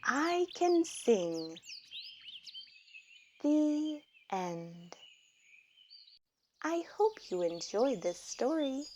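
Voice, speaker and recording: A woman reading a children's picture book aloud, with deliberate, expressive phrasing for young children. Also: high voice, a little hiss or hum. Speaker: storybook reader.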